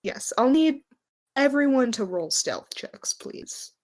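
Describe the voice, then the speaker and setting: A woman running a tabletop game, narrating scenes haltingly and with suspense as game master. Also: high-pitched, sing-song. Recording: music under the voice.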